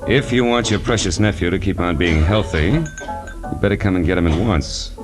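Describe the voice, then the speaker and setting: A hardboiled private eye from old radio noir. His voice sits low and he delivers everything with dry sarcasm and clipped cynicism. It is a vintage broadcast with music behind him. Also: lively intonation, normal speed. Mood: cool, skeptical. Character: cynical, wisecracking male private detective. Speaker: lead actor and narrator. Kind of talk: 1940s radio noir detective acting.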